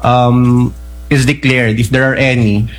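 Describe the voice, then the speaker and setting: A man questions a witness in a formal hearing. He stays courteous and measured, pausing with fillers as he goes. His voice sits at an ordinary middle pitch. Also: level tone, low-quality recording. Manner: polite, measured, pauses with fillers. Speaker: prosecutor. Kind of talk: courtroom questioning, mixing Tagalog and English.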